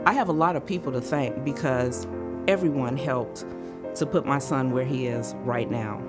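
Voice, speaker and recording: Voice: mid-range voice, moderate pace, over loud music. Proud, heartfelt parent. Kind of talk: personal testimony.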